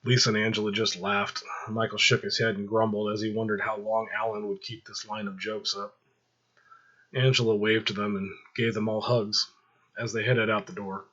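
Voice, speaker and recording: A man narrating fiction aloud in an expressive storytelling style. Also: on the low side, breathy edge, muffled recording.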